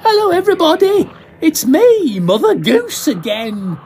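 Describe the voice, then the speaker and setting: A man's voice: very high-pitched, huge pitch swings, unhurried, a little mellow, slight background noise, voice pushed up, exaggerated voice. A man doing a drunk, ranting old ham actor. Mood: drunken ranting. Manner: slurred, ranting, indignant. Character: drunken slurring male pantomime actor.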